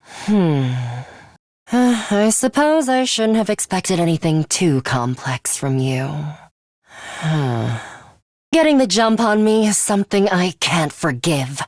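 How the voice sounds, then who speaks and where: Haughty, slow-spoken young female fighter. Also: on the low side, big pitch swings, a little mellow, no room sound, clean studio sound. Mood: cool, confident. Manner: curt, haughty, condescending. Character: aloof, scornful young female fighter with a sadistic streak. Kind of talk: English video game voice acting.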